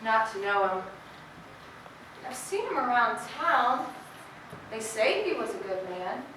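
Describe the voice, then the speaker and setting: A distant, echo-heavy hall recording of an actress as a gentle, dutiful sheriff's wife in a period stage drama. Her voice is high and bright, with a polite, hesitant manner. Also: big pitch swings, takes it slowly, heavily acted. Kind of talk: stage play acting, early 1900s rural American drama. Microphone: distant camera. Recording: echoey hall from far off, noisy.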